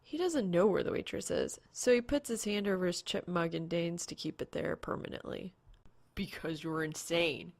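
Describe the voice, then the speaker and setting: A woman's voice: lowish voice, animated, brisk, vocal fry, few pauses, a little mellow, no echo. A woman reading fan fiction aloud as a scripted story narrator. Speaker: podfic narrator.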